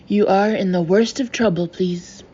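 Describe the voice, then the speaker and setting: A woman's voice: mid-range voice, moderate pace, mellow, husky, natural voice. A woman voicing a bossy fake fortune teller with an odd put-on accent who snarls orders and says please constantly. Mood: furious. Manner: snarls orders, tacks "please" onto everything. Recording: dull lo-fi with faint hiss.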